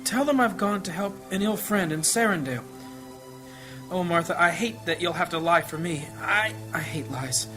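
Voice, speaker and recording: A man acting a gentle, troubled priest and family man in a fantasy audio drama, earnest, measured and devout. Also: high voice, natural voice. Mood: troubled, secretive. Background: music.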